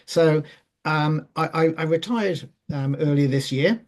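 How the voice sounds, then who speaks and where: Man, 60s, measured storyteller on a video call, voice sits a bit high. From Wales. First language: Welsh. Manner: measured, with frequent ums and self-repeats. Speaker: forum guest speaker. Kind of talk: online forum talk, telling a personal story.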